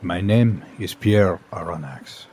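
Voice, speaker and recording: Deep, grave storyteller. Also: male voice, faint music behind. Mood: portentous. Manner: measured, portentous. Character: grave male storyteller of a sea mystery. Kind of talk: dramatic audio fiction narration. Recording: loud orchestral score behind.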